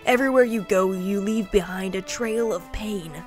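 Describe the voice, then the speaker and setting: A woman's voice: mid-range voice, normal speed, soft background music, lightly acted. A woman playing a resolute, vengeful male rebel leader who speaks in earnest, dramatic speeches in a fantasy audio drama. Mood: defiant accusing.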